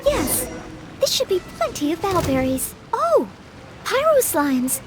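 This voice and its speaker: Anime game maid: young, humble, earnest knight hopeful; extremely high, sweet register, very expressive melody, gentle and polite. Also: female voice, unhurried, loud music behind the voice, heavily acted. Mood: cheerful, focused. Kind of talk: anime-style video game voice acting.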